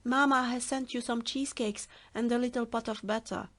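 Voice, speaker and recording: A woman voicing an innocent, trusting little girl in a storybook reading, with a bright, wide-eyed questioning manner. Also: fairly high pitch, steady tone, moderate pace, voice pushed up, natural voice. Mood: polite.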